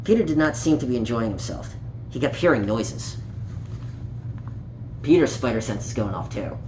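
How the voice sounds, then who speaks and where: A person reading a children's story aloud in an animated, playful way, with small asides and stumbles over words. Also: medium pitch, normal speed. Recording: echoey room with light background noise.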